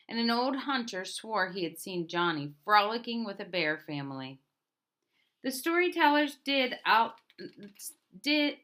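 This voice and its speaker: A woman reading a picture book aloud as a storyteller, in a deliberate manner with emphatic openings to her phrases. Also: mid-range voice, pushed and bright. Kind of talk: scripted picture book narration.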